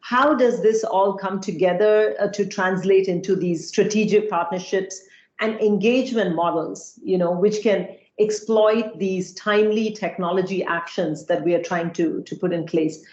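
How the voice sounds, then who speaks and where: A woman's voice: mid-range voice, moderate pace, darkish tone. Woman from Singapore in echoey room. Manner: thoughtful, many fillers and restarts. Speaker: panel guest. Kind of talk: panel discussion. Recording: echoey, slightly dull sound.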